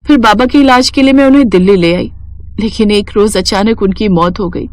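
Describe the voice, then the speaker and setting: A woman's voice: mid-range voice, a little fast, darkish tone, lightly acted. A woman voicing a weary, devoted young street-food seller, plaintive and lamenting in a melodramatic story. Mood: sorrowful.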